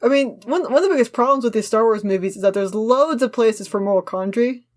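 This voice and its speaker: A woman's voice: on the high side, fast. Opinionated woman in casual discussion. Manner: opinionated, argumentative. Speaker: podcast co-host. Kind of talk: casual discussion, reading a script aloud with commentary.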